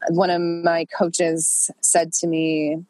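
A woman's voice: fairly low pitch, monotone, slow, dark tone, no echo, rough recording. A woman coaching an online group in a chatty, loose way, congested from a cold. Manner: chatty, loose, many fillers and restarts. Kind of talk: casual coaching talk.

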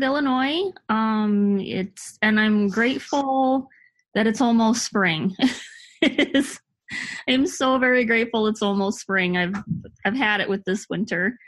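A woman's voice: on the high side, unhurried, fairly bright tone. A tired woman, chatting casually as a participant in a group call. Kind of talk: casual chat.

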